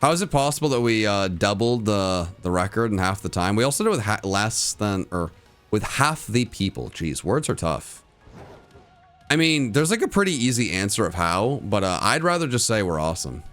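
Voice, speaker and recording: A man's voice: fairly low pitch, very animated, moderate pace, fairly bright tone, soft background music. A young man streaming, chatting casually with viewers, rambling through fillers and restarts with a distinct voice people call good for radio. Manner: rambling, lots of fillers and restarts, laughs often. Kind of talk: casual livestream chat with viewers.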